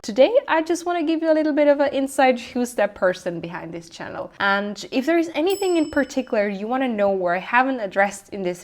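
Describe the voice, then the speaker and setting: Young swiss woman, swiss german accent, soft reflective vlog voice, english not her first language. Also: medium pitch. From Switzerland. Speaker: lifestyle vlogger. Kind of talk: scripted personal introduction.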